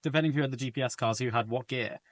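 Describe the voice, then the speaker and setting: Fast-talking man asking casual questions. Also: medium pitch, no room sound. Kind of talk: casual chat.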